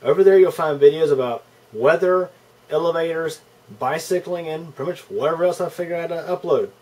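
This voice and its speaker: A man's voice: a little high, brisk, darkish tone, low-quality recording. A man. He speaks in an informal, conversational way, often stalling and doubling back on his words. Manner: informal, hesitant, repeats words. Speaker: YouTube channel host, caller to customer service. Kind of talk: casual phone call and commentary to viewers.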